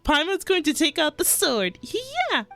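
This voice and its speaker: A woman doing casual, playful live reaction commentary as a game streamer, expressive and giggly. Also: extremely high pitch, measured pace. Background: game music.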